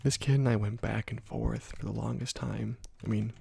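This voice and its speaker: A young man hosting an ASMR gaming video, speaking softly into a close microphone and rambling through stories with many fillers. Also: fairly low pitch, fairly even intonation, moderate pace, vocal fry, slightly rough. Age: young adult. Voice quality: whispery and soft. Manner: rambling, laid-back storytelling with many fillers. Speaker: gaming ASMR channel host. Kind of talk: soft-spoken ASMR gameplay commentary.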